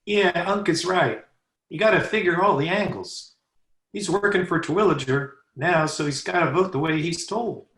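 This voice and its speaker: A cheeky schoolboy voiced by a man in radio comedy, with noticeable room echo. The voice is high and sharp, full of brash teasing. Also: moderate pace, lightly acted. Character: cheeky, wisecracking schoolboy nephew. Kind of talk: old-time radio comedy acting. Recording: echoey remote home recording.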